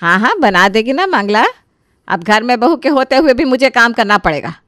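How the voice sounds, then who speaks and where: A woman's voice: fairly high pitch, big pitch swings, moderate pace, a bit bright, no echo, clean studio sound. Scolding middle-aged Indian-style mother-in-law acted by a woman. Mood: sarcastic. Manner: scolding, sarcastic, complaining. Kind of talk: Hindi animated moral story acting.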